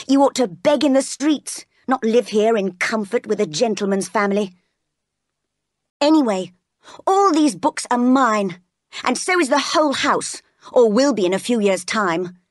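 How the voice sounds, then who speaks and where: Spoiled young master, played by a woman narrator: high, arrogant, accusing storybook bully. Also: lively intonation, a little slow, no echo, clean studio sound. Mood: haughty, bullying. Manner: haughty, commanding, accusing.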